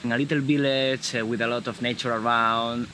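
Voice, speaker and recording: Halting Spanish-accented man, bright voice. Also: mid-range voice, fairly even intonation, slight background noise. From Galicia, Spain. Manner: halting, searching for words, frequent restarts. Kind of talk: casual conversation.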